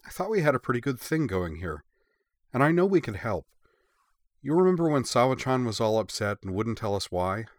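A man's voice: medium pitch, big pitch swings, fairly quick, dry recording, clean studio sound, pitched above the performer's own voice, natural voice. A man voicing a brash, impatient teenage schoolgirl in an audiobook, blunt and exasperated. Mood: frustrated. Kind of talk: audiobook character dialogue.

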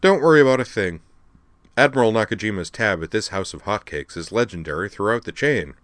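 A genial alien waiter, voiced by a man, chatty and wistful, in a low, steady voice. The sound is close and dry, with no room around it. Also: brisk, no echo. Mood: jovial. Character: jovial nostalgic alien male waiter.

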